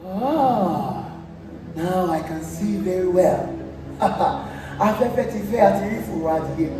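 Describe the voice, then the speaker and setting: A man's voice: high voice, animated, unhurried, over music. A young man with a Nigerian accent acting a proud, defiant defender of tradition on stage. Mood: bitter, scornful. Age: young adult. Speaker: student stage actor. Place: theatre stage, recorded from afar. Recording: distant, echoey theatre with noise.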